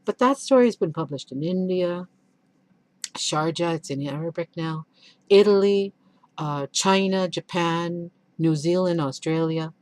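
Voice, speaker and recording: A woman's voice: fairly low pitch, a little slow, a little mellow, no room sound. A woman whose first language is Urdu, a children's author speaking candidly and earnestly in a reflective vlog, often restarting and correcting herself. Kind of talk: reflective vlog answering questions.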